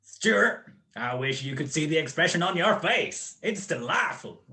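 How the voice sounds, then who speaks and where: A man's voice: a little high, big pitch swings, unhurried, bright, forceful tone, exaggerated voice. A man acting a smug, cold-blooded criminal mastermind in a radio play, with precise, mocking, grandiloquent speech. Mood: commanding, pleased.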